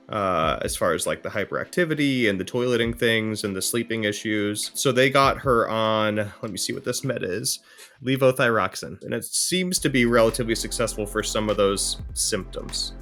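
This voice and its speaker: Low male voice, informal report, soft music. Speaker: care team member reporting medical history. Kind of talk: conversational case report.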